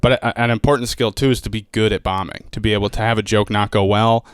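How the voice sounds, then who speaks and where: A young male comedian talks shop as a podcast guest. He rambles quickly, leaning on "like" and restarting his sentences. His voice is smooth and clear in a dry, close sound. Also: on the low side, fairly even intonation, dry recording. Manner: fast, rambling, heavy use of "like". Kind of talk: casual podcast chat.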